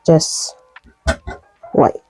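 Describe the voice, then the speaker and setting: A woman from Barbados with a softened Barbadian accent, chatting casually through a soap-making tutorial with lots of fillers. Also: extremely slow, faint music behind. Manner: relaxed, chatty, many fillers, light laughs.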